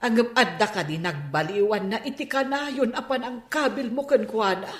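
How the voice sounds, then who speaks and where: A woman's voice: fairly high pitch, moderate pace, close to an everyday voice. An actress playing a protective, reproachful mother who stands up to her husband in a radio drama. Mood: defensive, pleading. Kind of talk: Filipino radio drama acting. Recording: echoey radio drama studio recording.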